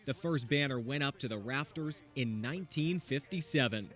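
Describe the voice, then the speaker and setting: A man's voice: medium pitch, moderate pace, a bit bright. A man narrating a scripted TV news feature as a reporter.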